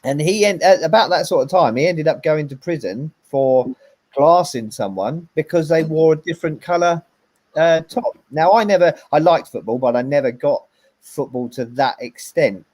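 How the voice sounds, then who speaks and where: A man's voice: a little high, expressive, normal speed, a little mellow. A man hosting a casual interview, praising a film with enthusiasm and talking in a rambling way with many fillers. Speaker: host.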